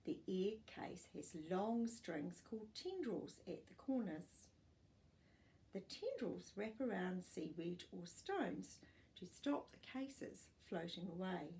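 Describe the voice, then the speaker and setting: A middle-aged woman reading aloud to young children as a storytime host, expressive and explanatory, with small asides. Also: on the low side, takes it slowly, creaky. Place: living room at home.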